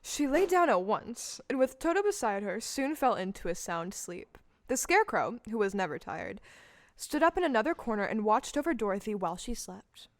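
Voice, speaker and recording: A woman's voice: a little high, very animated, normal speed, dry recording, studio quality. A woman giving scripted storybook narration for an audio play. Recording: live stage recording with music underneath.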